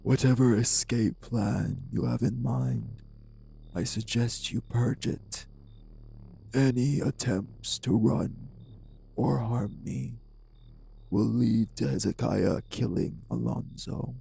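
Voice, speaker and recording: Man playing a slow, gravelly, haughty vampire sire. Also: medium pitch, steady tone, warm tone, faint music behind, exaggerated voice. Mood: cold, controlled. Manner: formal, deliberate, menacing, haughty diction. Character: refined, bitter, possessive old vampire sire, jilted husband. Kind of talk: dramatic audio roleplay acting.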